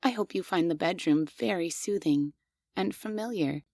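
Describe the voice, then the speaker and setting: A woman voicing a devoted, obsessive female android maid in an audio roleplay, speaking softly and formally with quietly unsettling questions. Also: on the low side, normal speed, no room sound, clean studio sound. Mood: gentle, doting. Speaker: voice actress.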